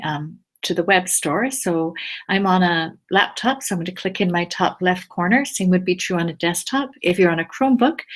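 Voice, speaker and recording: A woman teacher walking viewers through a screen-shared tutorial step by step, patient and explanatory, with frequent ums. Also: fairly low pitch. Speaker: teacher giving a tech tutorial. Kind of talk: screencast walkthrough.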